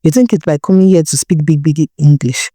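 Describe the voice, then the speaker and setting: A woman's voice: low-pitched, fairly even intonation, fairly quick, dark tone, slightly rough, no echo, clean studio sound. A woman storyteller voicing an arrogant, mocking rich Nigerian businessman in a folktale, talking with condescension. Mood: mocking, condescending. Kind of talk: storybook character dialogue.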